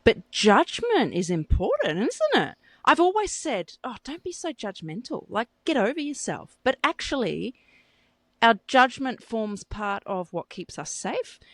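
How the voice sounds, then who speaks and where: Chatty, teasing woman with lively pitch. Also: unhurried, no echo. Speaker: podcast co-host. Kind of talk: casual chat.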